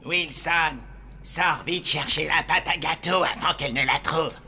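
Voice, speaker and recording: A gravelly zombie chef. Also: male voice, a little high, steady tone, unhurried, very noisy. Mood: cackling. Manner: theatrical, sly, bursts into cackling. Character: sly creaky male zombie hotel chef. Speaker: cartoon voice actor. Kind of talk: French cartoon dubbing.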